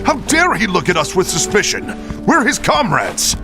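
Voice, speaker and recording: A man's voice: sits high, huge pitch swings, moderate pace, gravelly, over music, exaggerated voice. A man playing a proud, hot-headed disciple in a video game, indignant and emphatic. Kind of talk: video game cutscene acting.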